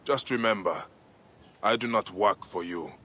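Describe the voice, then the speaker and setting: A grim Egyptian warrior from a video game, with a deep, low voice. He speaks solemnly and deliberately, a vengeful protector with a kind side. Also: bright, forceful tone. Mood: curt, firm. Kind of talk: video game cutscene acting.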